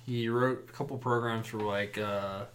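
A man's voice: lowish voice, fairly even intonation, normal speed, a bit bright, slight background noise. A man from Maryland with a Maryland accent, chatting loosely as a podcast host, rambling with lots of fillers. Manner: laid-back, rambling with fillers. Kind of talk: casual chat.

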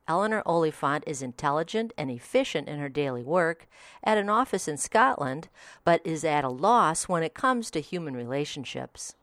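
A woman's voice: low-pitched, no echo. A woman presenting a scripted audio review as a podcast host.

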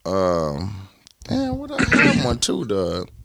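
A man co-hosting a casual podcast chat, laid-back and slangy, drifting into drawn-out pauses. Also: deep voice, wildly swooping pitch, vocal fry, a bit bright, dry recording. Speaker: podcast co-host.

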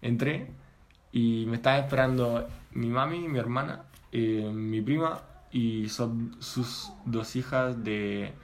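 A man's voice: medium pitch, fairly even intonation. Italian man chatting in hesitant Spanish. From Italy. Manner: halting, searching for words. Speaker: guest. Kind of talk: casual chat.

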